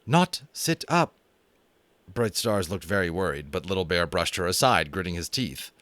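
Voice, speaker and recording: An American man narrating a children's novel aloud in a dramatic, animated way, with chatty, self-amused asides. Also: sits low, a little fast, no echo. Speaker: audiobook reader and host. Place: home room.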